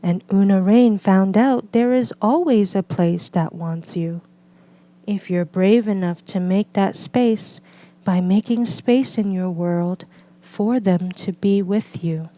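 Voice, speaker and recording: A woman reading a picture book aloud as a storyteller, in gentle, deliberate phrases with drawn-out pauses. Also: mid-range voice. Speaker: read-aloud storyteller for children and English learners. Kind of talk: slow scripted picture-book narration. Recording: slightly dull, lo-fi recording.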